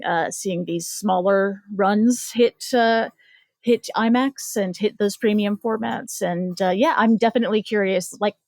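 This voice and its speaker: A woman's voice: medium pitch, a little mellow, no room sound. A woman on a podcast panel, talking casually with frequent fillers and restarts. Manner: halting, lots of fillers and restarts.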